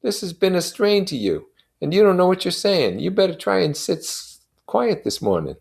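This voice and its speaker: Male reader as worried male comforter in a literary story; coaxing, questioning, voice pushed well up high and swooping. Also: sing-song, normal speed, vocal fry, exaggerated voice. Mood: worried, soothing. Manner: pleading, gently insistent, questioning. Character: anxious, coaxing male friend comforting a bereaved man. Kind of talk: dramatized novel reading.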